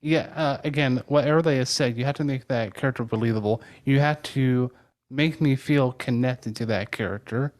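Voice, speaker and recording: Male interviewer, average pitch, relaxed conversational podcast style. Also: fairly quick.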